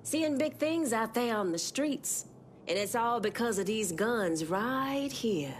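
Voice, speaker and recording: An actress playing a composed, knowing Vodou shopkeeper who leads an underground resistance in a video game, speaking in a measured, conspiratorial way. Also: female voice, a little high, lively intonation, slight background noise. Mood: warm, resolute. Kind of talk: video game character dialogue.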